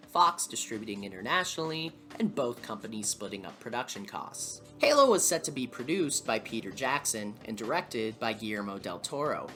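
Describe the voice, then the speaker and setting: Animated man narrating, music behind. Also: mid-range voice. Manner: wry, dry humour. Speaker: video essay host and narrator. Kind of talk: scripted documentary narration. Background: background music.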